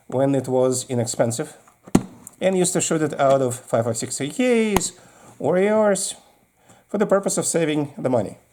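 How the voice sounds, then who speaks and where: A man's voice: a little high, dark tone, a little hiss or hum. A man with a Russian accent giving practical, casual instructions as a video host, explaining while handling his gear.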